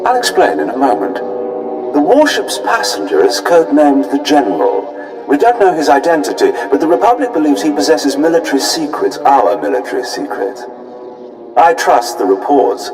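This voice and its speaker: An actor playing a smug, menacing imperial fleet commander in a video game, pompous and self-satisfied. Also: male voice, a little high, wildly swooping pitch, moderate pace, darkish tone, soft background music, low-quality recording. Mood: menacing, commanding. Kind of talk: video game character acting.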